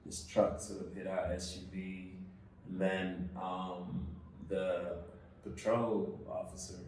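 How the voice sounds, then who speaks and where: A man from Senegal, a guest in an interview, telling his story casually with many pauses, fillers and restarts. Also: low-pitched, little pitch movement, a little mellow. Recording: echoey room, mic at a distance, faint noise.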